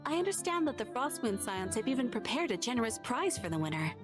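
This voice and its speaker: Polite, animated guild receptionist. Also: female voice, on the high side, fast talker, music in the background. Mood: informative, courteous. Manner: formal, courteous, explanatory. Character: polite, helpful adventurers' guild receptionist woman, composed and formal. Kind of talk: video game voice acting.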